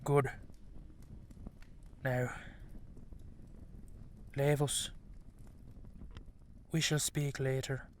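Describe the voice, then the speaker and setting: A man's voice: mid-range voice, level tone, very slow, pauses often, slight background noise. A man playing a stern, weary dragon king and father in an audio drama, with a grave, regal delivery. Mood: gruffly conceding. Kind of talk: scripted audio roleplay drama.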